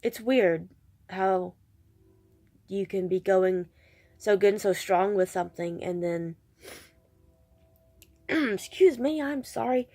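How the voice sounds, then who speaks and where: A woman's voice: mid-range voice, slow, long pauses, warm tone. A woman with a stuffed-up, congested voice, chatting casually in a vlog and rambling with self-deprecating restarts. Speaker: vlogger.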